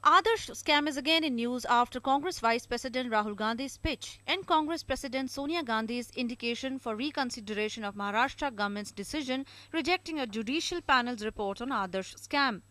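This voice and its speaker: A woman's voice: a little high, pushed and bright, dry recording. A woman with an Indian accent reading a scripted television news report as a reporter.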